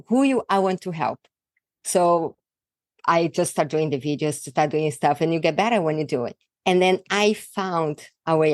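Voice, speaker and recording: A woman from Brazil with a thick Brazilian Portuguese accent and Portuguese as her first language, a guest in an interview, talking in a halting way and searching for words. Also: deep voice, darkish tone, no echo. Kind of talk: casual interview talk.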